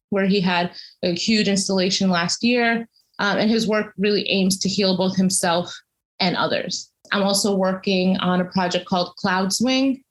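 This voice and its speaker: Articulate woman explaining her work in an interview. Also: medium pitch. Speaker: interviewed cultural consultant and museum studies academic. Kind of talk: conversational interview answer, explanatory.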